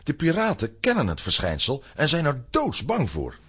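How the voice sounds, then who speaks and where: A man's voice: mid-range voice, huge pitch swings, normal speed, fairly bright tone, breathy edge. A man narrating a children's radio play in a scripted, dramatic storytelling style.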